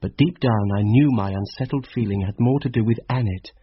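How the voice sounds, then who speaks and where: Husky low-voiced troubled schoolteacher narrating, brooding, muffled old radio sound. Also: male voice, fairly quick, no echo. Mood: brooding, uneasy. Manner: brooding, introspective. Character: troubled schoolteacher narrator, introspective, uneasy, protective man. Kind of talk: first-person radio drama narration.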